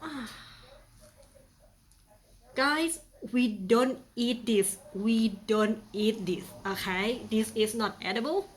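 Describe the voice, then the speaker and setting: A woman's voice: a little high, takes it slowly, slight background noise. A young woman from Thailand with a Thai accent, chatting playfully through a casual how-to demonstration with many fillers. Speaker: vlogger.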